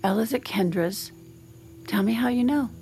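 An actress playing a weary, probing wife in an intimate audio drama, speaking tersely and often breathily. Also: female voice, fairly high pitch, normal speed, creaky, a little mellow. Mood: probing, insistent. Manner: terse, guarded, insistent. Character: Diana, weary, restless, probing wife in a troubled marriage.

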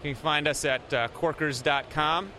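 A man representing a company, explaining product features in a steady way with frequent pauses. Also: fairly high pitch, a little hiss or hum.

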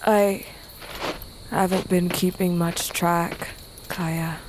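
An actress playing a wounded, distrustful outcast in an audio drama, speaking with weary, bitter defiance. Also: female voice, lowish voice, level tone, extremely slow, noisy background. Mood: weary, defiant. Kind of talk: audio fiction drama acting. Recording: faint outdoor insect ambience.

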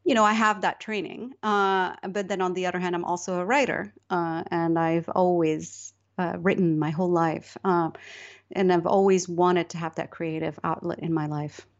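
A low-voiced middle-aged woman from Morocco, speaking as a novelist in an interview. She talks thoughtfully, pausing with fillers and restarting her sentences. The recording sounds a little dull. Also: steady tone. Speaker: novelist guest. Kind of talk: interview conversation.

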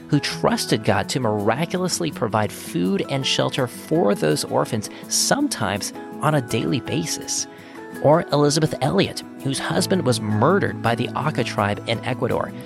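A man's voice: mid-range voice, gravelly. A man reading scripted sponsor spots as a podcast host. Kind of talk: scripted ad narration. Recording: music under the voice. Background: guitar music.

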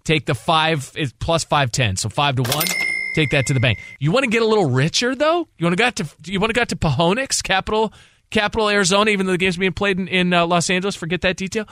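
Higher-pitched man bantering on sports radio. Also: lively intonation, quick pace, bright, forceful tone, a little hiss or hum. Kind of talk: casual sports talk banter.

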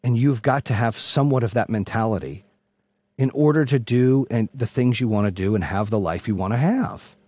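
Husky, brash motivational man. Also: mid-range voice, moderate pace, darkish tone, no echo. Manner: brash, profane, emphatic, repeats phrases for punch. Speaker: motivational speaker and host. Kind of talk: motivational monologue.

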